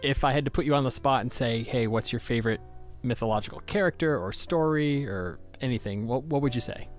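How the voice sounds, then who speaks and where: Guy podcast host, chatty interview questions, mid pitch, faint music behind. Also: fairly quick. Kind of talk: casual interview.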